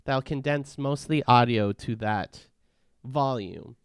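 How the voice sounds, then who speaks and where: A man walking viewers through audio editing steps in a relaxed, casual tutorial. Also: medium pitch, unhurried, clean tone, no echo, clean studio sound. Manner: relaxed, step-by-step instructing. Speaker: tutorial host. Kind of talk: casual software walkthrough.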